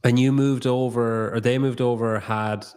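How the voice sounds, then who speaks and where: A man's voice: mid-range voice, fairly even intonation, normal speed, darkish tone, clear, resonant tone. A man hosting a podcast in a new studio, chatting loosely, thinking aloud and swearing casually. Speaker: podcast host. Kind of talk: casual interview chat.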